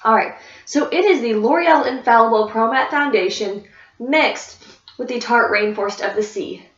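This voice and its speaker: A woman's voice: on the high side, normal speed, a bit bright. A woman talking casually about her favorite beauty products as a vlogger, a little congested and sniffly, rambling with fillers and restarts. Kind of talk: casual product review chat. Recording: echoey room, dull lo-fi sound.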